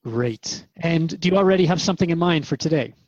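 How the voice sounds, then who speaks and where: A man's voice: fairly high pitch, animated, brisk, a little mellow, no room sound, highs cut off. A man hosting a radio interview program, conversational and reflective as he addresses listeners and his guest. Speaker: radio host.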